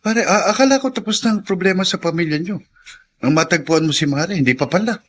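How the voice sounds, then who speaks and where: An inquisitive Filipino pal played by a male actor with a fairly high voice for a man. He is a concerned friend in a radio drama. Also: fast, slight background noise, close to an everyday voice.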